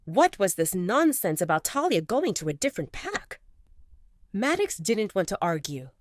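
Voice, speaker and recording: A woman narrating a scripted audio romance drama in an expressive storytelling manner. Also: mid-range voice, normal speed, no room sound, clean studio sound.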